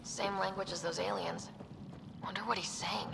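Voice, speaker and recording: A woman's voice: medium pitch, fairly even intonation, normal speed, vocal fry. Young female recon specialist for sci-fi game, mid-range, curious and dry, ambient noise behind. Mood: wry, puzzled. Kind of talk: naturalistic video game dialogue acting. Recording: game audio over loud ambient noise.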